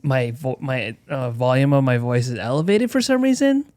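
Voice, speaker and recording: A man's voice: mid-range voice, normal speed, no room sound. Man telling stories in dry close sound. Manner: animated storyteller, acts out quotes. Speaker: co-host. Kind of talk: casual chat.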